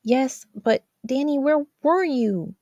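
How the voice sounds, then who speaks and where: A woman's voice: high voice, animated, slow pace, dark tone, no room sound, muffled recording, voice pushed up, full character voice. A woman voicing an anxious, caring teenage sister in a story, urgent and fretful as she scolds. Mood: worried, urgent.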